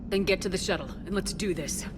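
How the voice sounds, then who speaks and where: Woman acting a low-toned, firm military commander. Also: fast, noisy background, lightly acted. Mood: resolute. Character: determined, steady female military commander in space war. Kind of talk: video game voice acting.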